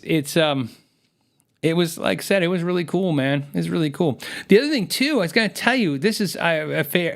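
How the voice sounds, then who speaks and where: Rambling livestream host, slightly high. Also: male voice, a bit bright. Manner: rambling, many restarts and fillers, reads out viewer comments. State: voice worn from long shows. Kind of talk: casual livestream chat with viewers.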